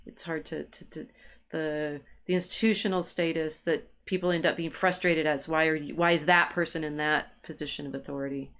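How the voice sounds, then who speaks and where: A woman co-hosting an intellectual discussion, thinking aloud in a halting way and repeating words as she searches for phrasing. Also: low voice, steady tone, a bit bright. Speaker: co-host of a discussion stream.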